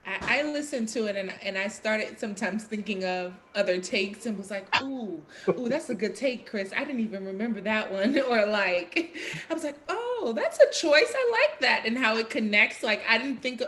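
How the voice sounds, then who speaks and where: A woman's voice: a little high, big pitch swings, moderate pace, a bit bright, slight background noise. A woman in casual panel chat, acting out her own thoughts as she recounts them. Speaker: actor on a panel.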